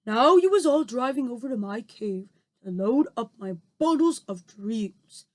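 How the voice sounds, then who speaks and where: A woman voicing a big, gentle male giant who talks in slow, muddled grammar while reading a storybook aloud. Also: on the high side, animated. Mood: explaining.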